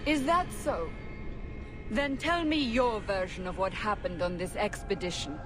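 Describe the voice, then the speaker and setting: A woman playing a stern, zealous interrogator in a video game, accusing and questioning forcefully. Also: medium pitch, a little slow, music in the background, natural voice. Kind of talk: video game cutscene acting.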